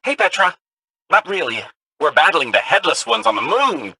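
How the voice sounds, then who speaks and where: An actor playing a wry, easygoing character in a sci-fi video game. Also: male voice, fairly high pitch, big pitch swings, normal speed, sharp tone, breathy edge, dry recording, heavily acted. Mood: wry, chatty. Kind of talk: scripted video game voice acting.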